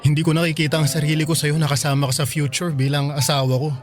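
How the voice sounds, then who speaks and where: An actor playing a kind but frank young man in a Filipino radio drama, gentle and candid with a Filipino accent. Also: a little high, level tone, fast, lightly acted. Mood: apologetic, firm.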